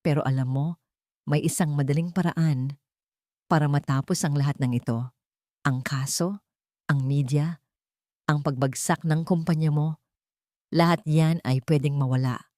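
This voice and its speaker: A woman's voice: low-pitched, steady tone, a little slow, long pauses, dark tone, no echo, studio quality. A woman voicing a scheming, bitter socialite in an audio story, arrogant and mixing Tagalog with English. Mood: smug.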